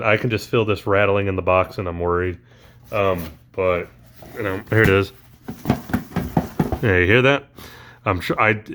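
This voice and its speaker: Low-ish guy rambling through a toy unboxing, lots of ums and uhs, voice just back after allergies. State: voice recently recovered from allergies. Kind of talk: casual unboxing chat.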